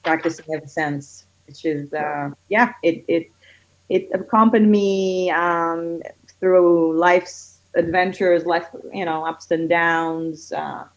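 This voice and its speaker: A woman's voice: fairly low pitch, takes it slowly. A middle-aged woman from Israel, a guest who runs a kids yoga studio, chatting casually with many fillers. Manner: chatty, many fillers, gets excited when telling stories. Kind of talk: casual podcast interview. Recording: dull lo-fi audio.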